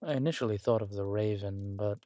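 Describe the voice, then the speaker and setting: A man playing a scheming, aristocratic villain husband in an intimate roleplay audio drama, suave and deliberate, slipping into breathy near-whispers. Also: fairly low pitch, mellow, no echo, close to an everyday voice. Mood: sly, musing. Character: smooth, scheming villain husband, usurper of a kingdom.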